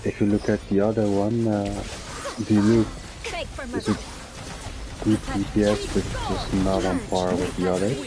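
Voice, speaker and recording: A man's voice: on the low side, level tone, moderate pace, long pauses. A man giving casual, informal gameplay commentary as a streamer, thinking aloud as he plays. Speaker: video game streamer. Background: game music.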